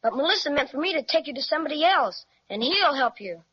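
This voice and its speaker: A woman's voice: high-pitched, very animated, quick pace. A woman voicing a friendly, gracious elf who welcomes guests, in an old radio serial. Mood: welcoming. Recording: muffled 1930s radio recording.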